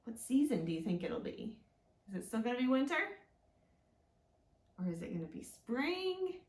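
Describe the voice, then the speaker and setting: Woman, higher voice, unhurried, gentle children's librarian, expressive storytime delivery, echoey room. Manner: gentle, simple, encouraging talk aimed at small children. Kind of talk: children's storytime read-aloud with questions to young listeners. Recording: roomy, echoing indoor sound.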